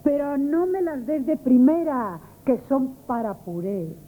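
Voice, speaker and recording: An actress playing a pert, wisecracking grocery customer in a radio comedy, with a Spanish accent. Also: female voice, high-pitched, very animated, a little slow. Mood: saucy. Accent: Spain. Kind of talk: comic radio theatre acting. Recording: muffled old radio recording.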